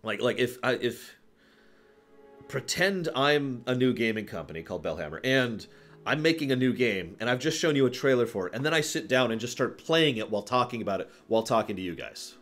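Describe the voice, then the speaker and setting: A man's voice: mid-range voice, expressive, fairly quick, fairly bright tone, soft background music. A man hosting a livestream, chatting casually and opinionatedly with his viewers in an emphatic, conversational way. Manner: emphatic, conversational, breaks off to address chat. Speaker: livestream host.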